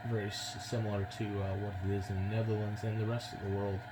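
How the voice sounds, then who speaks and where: A man's voice: lowish voice, little pitch movement, quick pace. American man on radio, very noisy recording. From United States. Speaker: amateur radio operator. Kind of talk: casual ham radio contact.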